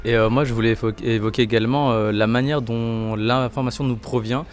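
A man's voice: mid-range voice, steady tone, a little fast. A teenage boy on a student jury, answering earnestly and often restarting words. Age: teens. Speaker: student juror. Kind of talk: interview answers.

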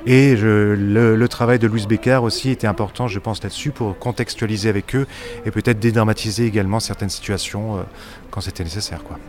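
Male teacher, low voice, reflective. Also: steady tone, brisk, a little mellow. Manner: reflective, trailing off.

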